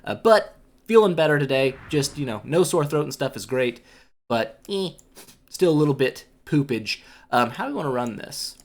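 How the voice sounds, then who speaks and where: A young man giving relaxed, rambling commentary while playing a mobile game, a bit congested from a cold, with lots of filler words and self-mocking asides. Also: medium pitch, moderate pace. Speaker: gaming YouTuber. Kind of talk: casual gameplay commentary.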